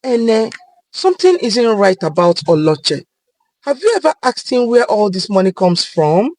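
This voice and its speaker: A woman storyteller acts a caring best friend in a dramatic tale. She sounds earnest and cautioning, at a middle pitch, recorded very dry. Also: big pitch swings, unhurried, a little mellow, dry recording. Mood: concerned.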